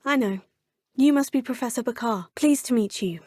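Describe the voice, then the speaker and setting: A woman's voice: fairly high pitch, normal speed, a little mellow, no room sound, clean studio sound, close to an everyday voice. A woman playing an earnest, determined young female wizard student in a video game, sometimes whispering to herself. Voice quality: husky, often whispering to herself. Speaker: player character.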